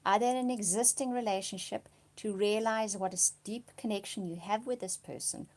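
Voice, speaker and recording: A woman doing a monthly tarot reading, slightly hoarse from a cold, speaking softly and reassuringly, dropping to a whisper as she reads the cards. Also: mid-range voice, a little fast. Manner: soft-spoken, often drops to a whisper reading cards; reassuring, often checks in with "okay?". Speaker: tarot card reader.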